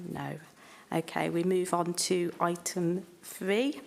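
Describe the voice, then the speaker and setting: A woman's voice: lowish voice, level tone, slow pace, vocal fry. A woman chairing a council committee meeting, speaking with frequent hesitations and fillers. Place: council chamber.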